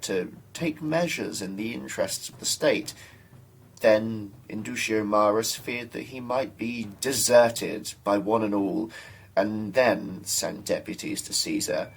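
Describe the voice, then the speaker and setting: A young man giving a formal, measured dramatic reading of an ancient military history. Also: on the low side, gravelly, husky, rough recording. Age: young adult. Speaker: student reader.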